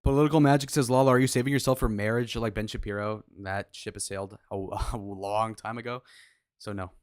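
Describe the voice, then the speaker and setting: A man's voice: lowish voice, steady tone, a little fast. A young man streaming live, reacting to clips with blunt, mocking, crude running commentary in a casual way.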